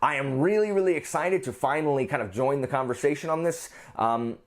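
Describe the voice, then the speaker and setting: A man's voice: on the high side, fairly quick. A man hosting a book channel, talking casually and enthusiastically to his viewers with jokey asides.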